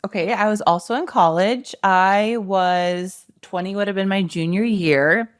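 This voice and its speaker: A woman's voice: medium pitch, unhurried, smooth, dry recording, studio quality. A woman in her thirties hosting a podcast in a casual chat, encouraging and asking open questions. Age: 30s. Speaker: podcast host.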